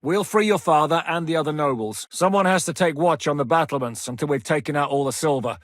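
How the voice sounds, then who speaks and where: A man playing a gruff, commanding rebel leader. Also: a little high, brisk, a bit bright, dry recording, studio quality, natural voice. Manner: barks curt orders, swears often. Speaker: game character. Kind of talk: video game voice acting.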